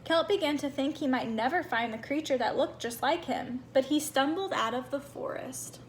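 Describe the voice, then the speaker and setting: Female storybook reader, high-pitched, expressive delivery for young children, faint background noise. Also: normal speed. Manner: animated, acts out small moments. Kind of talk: expressive children's read-aloud.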